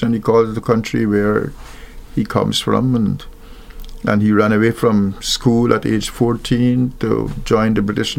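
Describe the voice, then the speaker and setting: An older man from Guyana speaks in a slow, reflective way about marriage and equality. His voice has a gravelly, creaky edge, and he often stops, restarts, and fills gaps with soft hesitations. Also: medium pitch. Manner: thoughtful, halting, many fillers and restarts.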